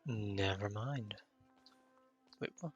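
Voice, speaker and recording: A man's voice: fairly low pitch, extremely slow, lo-fi sound, soft background music. A man livestreaming a video game, muttering brief, casual reactions to himself. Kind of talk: casual gameplay commentary.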